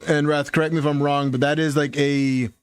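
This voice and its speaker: A man hosts a casual live chat about gear, his voice close and dry against the mic. He talks in a relaxed, thinking-aloud way, often backing up and restarting a sentence mid-thought. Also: a little high, a little fast, clear, resonant tone, dry recording. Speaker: livestream host.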